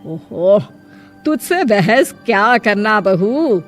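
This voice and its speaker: A woman's voice: mid-range voice, big pitch swings, soft background music. A woman voicing a stern, sarcastic older mother-in-law in a cartoon story, scolding and bossy about her household. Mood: smug. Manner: scolding, bossy, drawn-out address.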